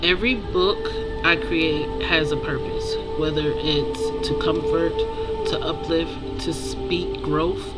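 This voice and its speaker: An author speaking reflectively and earnestly to viewers in short, halting phrases with pauses. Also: mid-range voice, big pitch swings, low-quality recording. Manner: earnest, inspirational. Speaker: author and creator introducing own book. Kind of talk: personal, reflective talk to viewers about own book. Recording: loud music behind the voice. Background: music.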